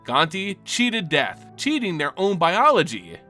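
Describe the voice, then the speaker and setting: A man's voice: a little high, wildly swooping pitch, measured pace, pushed and bright. A man giving a dramatic scripted narration of a fantasy story in a theatrical storytelling manner. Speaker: narrator of a lore channel. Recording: music bed under the voice. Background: background music.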